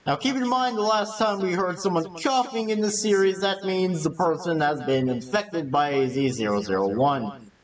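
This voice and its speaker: Man, high voice, scripted narrator, video essay, slightly off-mic. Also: moderate pace, distant mic, poor audio.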